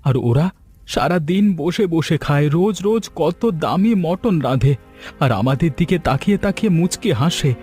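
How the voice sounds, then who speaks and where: A man acts a hardworking, poor farmer in a cartoon folk tale, proud yet downhearted. His male voice sits a little high and sounds plaintive and earnest, with music behind it. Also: expressive, a little slow, a little mellow, slightly rough. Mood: weary, hurt.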